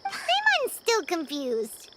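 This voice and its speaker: A woman voicing a tiny, excitable, easily confused fairy companion in a fantasy game. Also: very high voice, slow pace, slight background noise, exaggerated voice, poor audio. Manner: exclamatory, refers to self in third person. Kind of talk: fantasy game character acting.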